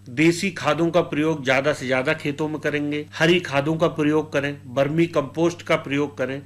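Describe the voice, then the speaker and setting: A man's voice: fairly high pitch, a bit bright. A man hosting a radio panel discussion, asking polite guiding questions. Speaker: host and moderator.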